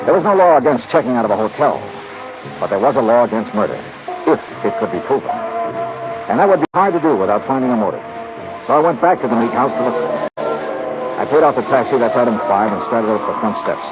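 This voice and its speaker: Muffled old radio drama with music: a man acting a wry, tough American detective, expressive delivery. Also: mid-range voice, fast talker, close to an everyday voice. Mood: dry, wry. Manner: clipped, hard-boiled. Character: tough, wry male insurance investigator narrating his case. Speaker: radio actor in the lead role.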